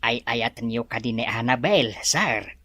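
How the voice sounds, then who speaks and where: A woman's voice: rumbling low, unhurried, sharp tone, no room sound, heavily acted. A woman with an Ilocano accent playing a deferential, inquisitive household helper in a radio drama. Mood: surprised.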